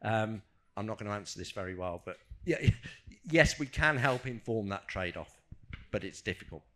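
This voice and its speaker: A man's voice: lowish voice, lively intonation, moderate pace, sharp tone. A man speaking as a scientist on a discussion panel, informal and conversational, often restarting his sentences as he works out an answer. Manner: hesitant, self-correcting, conversational. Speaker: scientist on a panel, fielding questions. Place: live agricultural conference panel session.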